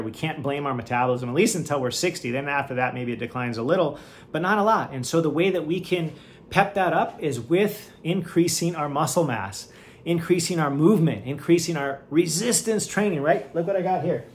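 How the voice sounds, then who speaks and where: A middle-aged man with a fairly high-pitched voice hosts a casual health podcast. He talks in an enthusiastic, chatty way, often checking in with "right?". He moves around and lifts weights at his standing desk as he speaks. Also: brisk, slight background noise. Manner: moving around and lifting weights while talking. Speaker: podcast host, physician. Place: home office at a standing desk.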